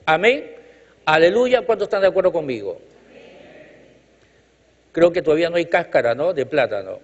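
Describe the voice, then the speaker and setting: A man's voice: on the high side, animated, moderate pace. Preacher man in an echoey hall. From Chile. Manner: emphatic, rhythmic repetition, light jokes. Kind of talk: sermon with congregational call-outs. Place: church hall. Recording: reverberant hall, dull lo-fi sound.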